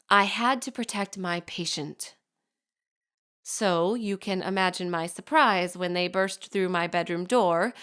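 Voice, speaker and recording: A woman performs a shrewd, self-possessed young lady of a powerful family in an audio drama monologue. Her voice sits at a middle pitch, and she speaks formally, placing each pointed phrase with cool care. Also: close to an everyday voice. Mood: tense recollection. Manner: formal, measured, eloquent diction with pointed emphasis. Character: poised, shrewd young bride from a spymaster family, coolly accusing. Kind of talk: scripted dramatic monologue addressed to her mother.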